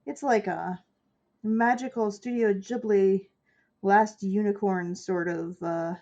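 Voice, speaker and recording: Stuffy-nosed woman with a gravelly edge. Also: medium pitch, steady tone, slow, darkish tone, highs cut off. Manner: chatty, explaining her steps as she works. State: stuffy nose from a cold. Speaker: artist streaming her painting. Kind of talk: casual chat while painting.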